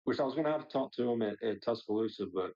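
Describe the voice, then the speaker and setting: A man's voice: medium pitch, very fast, a little mellow, highs cut off. A man talking casually as a pilot sharing experience, in loose, hesitant phrasing sprinkled with "like". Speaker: pilot, discussion participant. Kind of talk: casual personal storytelling.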